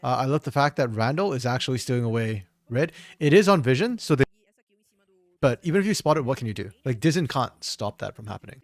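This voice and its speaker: A man with a slightly hoarse voice, reviewing a match on his own in a casual, think-aloud way with frequent restarts. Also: medium pitch, lively intonation, fast, no echo. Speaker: esports caster doing a solo VOD review. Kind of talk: casual solo game analysis commentary.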